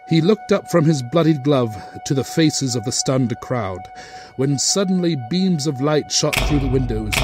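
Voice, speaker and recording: Wry comedic male narrator. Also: mid-range voice. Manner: wry, sardonic asides.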